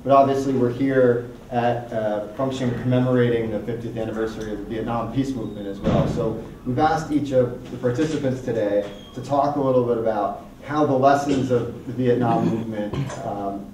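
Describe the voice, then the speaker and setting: A man moderating a conference panel in a relaxed, friendly way with frequent fillers. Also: mid-range voice, slight background noise. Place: conference hall. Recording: echoey hall, mic from a distance.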